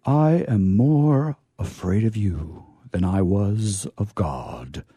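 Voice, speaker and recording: An actor playing a naive, courtly angel in a comic radio play, with formal, florid, hesitant phrasing. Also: male voice, fairly low pitch, animated, slow pace, mellow, slightly rough, dry recording, exaggerated voice. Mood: smitten, earnest. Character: naive, courtly, curious male angel discovering earthly pleasures.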